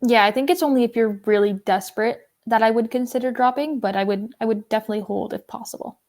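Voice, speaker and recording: A woman co-hosting a fantasy basketball podcast, a little hoarse, casually agreeing with her partner and adding her own tips. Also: on the high side, fairly even intonation, a little mellow. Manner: agreeable, quick to back up her co-host. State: losing her voice.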